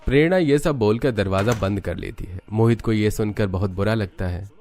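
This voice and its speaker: A man's voice: on the low side, moderate pace, darkish tone, faint music behind. A man narrating a scripted story as the storyteller.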